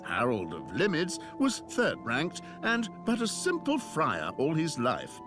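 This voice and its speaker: Chatty priest, wry storyteller, highish voice, very animated delivery, game dialogue over music. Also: male voice, measured pace. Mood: dry, explanatory. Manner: rambling, drily amused storyteller with chuckles. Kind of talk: video game character dialogue.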